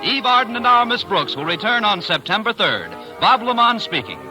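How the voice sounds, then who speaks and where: High, animated American male network announcer. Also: normal speed, rough recording. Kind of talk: scripted vintage radio credits. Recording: old radio broadcast recording. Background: loud music.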